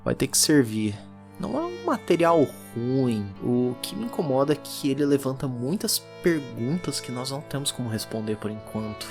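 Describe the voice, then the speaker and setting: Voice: medium pitch, sing-song, moderate pace, a little mellow. A performer with a Brazilian accent playing a weary, methodical occult archivist, musing hesitantly as they dictate an audio drama log. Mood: reserved, musing. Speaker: narrator. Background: music.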